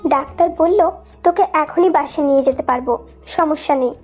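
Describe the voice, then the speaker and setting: A woman's voice: sits high, moderate pace, a little mellow, lightly acted. A woman playing a worried, bossy middle-aged mother who scolds her daughters in a dramatised love story. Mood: brisk, directing. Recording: music behind the voice.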